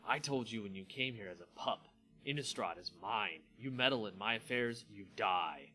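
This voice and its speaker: A man's voice: fairly low pitch, animated, normal speed, sharp tone, soft background music. A man voicing a bitter, snarling male vampire lord with menacing, clipped delivery in a fantasy story. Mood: menacing anger. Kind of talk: dramatic fantasy story reading.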